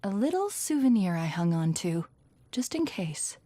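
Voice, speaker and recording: Loyal AI companion, husky, dry close recording, wry and intimate, video game cutscene. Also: female voice, medium pitch, sing-song, normal speed, warm tone, no room sound. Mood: tender, wry. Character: wry, loyal female artificial intelligence companion.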